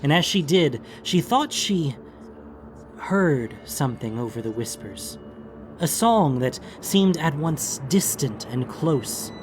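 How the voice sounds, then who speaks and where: A man giving measured, theatrical narration of a fantasy audiobook. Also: on the high side, animated, noisy background.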